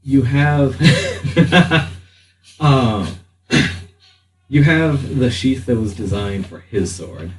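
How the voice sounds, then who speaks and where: A man speaking as game master, improvising narration for a tabletop roleplaying game with halting pauses mid-sentence. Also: mid-range voice, animated. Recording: roomy, distant mic with faint noise.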